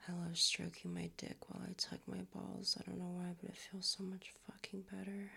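A young man whispering softly into a close mic, his voice raspy and a little hoarse from a recent cold. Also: sits high, completely flat delivery. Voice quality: raspy, strained.

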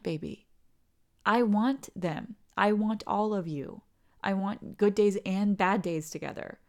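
A woman voicing a tender, devoted young girlfriend in an ASMR roleplay, soft and reassuring and often slipping into whispers. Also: mid-range voice, natural voice.

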